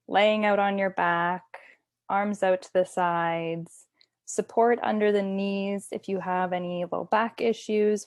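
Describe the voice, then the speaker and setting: A woman teaching yoga nidra, giving guided relaxation instructions in a soothing, unhurried, gentle way. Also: mid-range voice, dry recording, studio quality.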